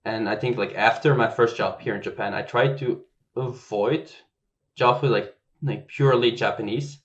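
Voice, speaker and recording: A man from Belgium, a software engineer guest on a podcast, telling stories in a relaxed, chatty way with lots of "like.". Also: mid-range voice, moderate pace, dull audio. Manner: relaxed, chatty, heavy use of "like". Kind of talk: casual conversational interview.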